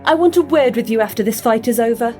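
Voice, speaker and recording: A woman's voice: on the high side, quick pace, a little mellow, lightly acted. A woman playing a bold, suspicious young warrior student in a game fan dub, direct and challenging. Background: music.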